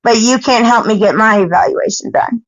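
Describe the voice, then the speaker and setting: An American woman pressing her point on a recorded phone call, insistent and halting, often repeating herself. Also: a little high, no echo. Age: adult. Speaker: parent questioning a caseworker. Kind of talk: argumentative phone conversation.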